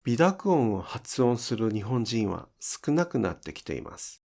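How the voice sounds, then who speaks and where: A man teaching pronunciation in an instructional style, enunciating deliberately and carefully for language learners. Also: mid-range voice, sing-song, creaky, a little mellow. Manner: deliberate, careful enunciation with demonstrated example words.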